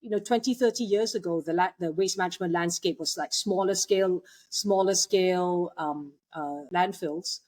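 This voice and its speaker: A woman's voice: lowish voice, moderate pace, hardly any pauses, a little mellow. A woman from Malaysia, a guest in an interview, talking in a hesitant way with many fillers and restarts. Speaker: guest, waste technology entrepreneur. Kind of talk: conversational interview.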